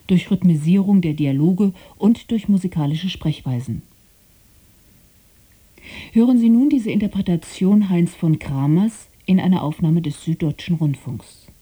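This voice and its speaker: A woman reading a scripted introduction to a radio play as its presenter, in a measured, formal manner. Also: lowish voice, warm tone, breathy edge. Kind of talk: scripted narration.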